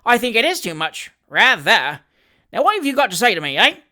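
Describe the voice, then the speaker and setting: A reader voicing a blunt young London manservant who shouts fiercely and talks gruffly. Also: male voice, high voice, very animated, fairly quick, pitched above the performer's own voice, heavily acted.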